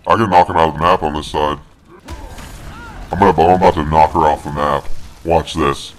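A man giving joking, boastful let's play commentary through a pitched-down monster voice filter. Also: fairly even intonation, brisk. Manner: joking, boastful, playful trash talk. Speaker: gamer.

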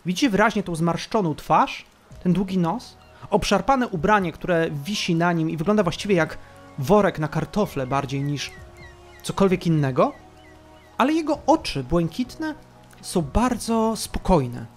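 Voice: medium pitch, expressive, measured pace, soft background music. A game master narrating a tabletop role-playing game.

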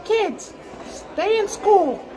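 A woman's voice: extremely high pitch, expressive, extremely slow, noisy background, heavily acted. A woman voicing a silly, cheeky, foul-mouthed hand puppet in a playful, exclaiming, sing-song way during an improvised puppet show. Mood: playful, teasing. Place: indoor corner with bookshelves, behind puppets.

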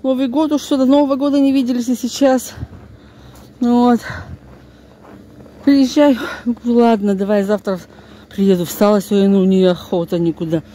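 A woman's voice: on the high side, steady tone, moderate pace, pauses often, dark tone. An older woman with a Northern Russian accent, chatting and grumbling colloquially to viewers as she walks along with a phone. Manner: talking while walking. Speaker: vlogger. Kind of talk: casual spoken vlog, talking to viewers. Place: outdoors on snowy residential streets, briefly stairwell and bus. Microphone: handheld phone. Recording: noisy handheld phone recording, street ambience.

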